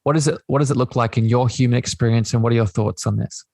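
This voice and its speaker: A man's voice: medium pitch, steady tone, fast talker, vocal fry, darkish tone, no echo, studio quality. A man hosting a conversational interview podcast, explaining things earnestly and at length, repeating phrases for emphasis. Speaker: podcast host.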